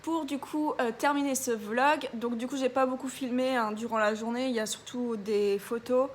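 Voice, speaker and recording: A woman's voice: a little high, fairly even intonation, normal speed, slight background noise. A young woman vlogger telling a story in a casual, chatty way, with many hesitations and a stuffy nose from a cold. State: congested, a bit ill.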